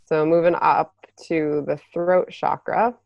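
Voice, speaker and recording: A woman's voice: low voice, fairly even intonation, takes it slowly, gravelly, dry recording, dull audio. A woman co-hosting a podcast, explaining thoughtfully with frequent ums. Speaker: podcast co-host. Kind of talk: explaining, casual chat.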